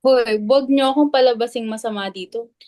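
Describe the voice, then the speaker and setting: High-pitched, argumentative female club member acted by a woman, emphatic, insistent, drama reading. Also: a little fast, darkish tone, close to an everyday voice. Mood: defensive. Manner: emphatic, argues with pointed questions. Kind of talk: dramatic stage reading in Tagalog.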